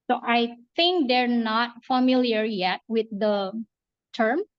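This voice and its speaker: An Indonesian-speaking woman from Indonesia moderates a class over a video call, choosing her English words slowly and carefully. Her voice sits a little high, and the audio is dull and dry. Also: animated, dry recording.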